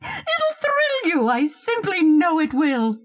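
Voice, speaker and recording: An actress playing a pompous, bossy older society hostess in a radio play, with a theatrical, affected, self-satisfied way of talking. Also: female voice, extremely high pitch, huge pitch swings, takes it slowly, exaggerated voice. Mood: gushing, self-satisfied. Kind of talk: old-time radio horror-comedy acting.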